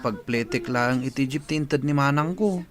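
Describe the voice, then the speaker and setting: A man's voice: medium pitch, normal speed, close to an everyday voice. A man playing an earnest, dreamy teenage schoolboy in a village comedy radio drama. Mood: wistful.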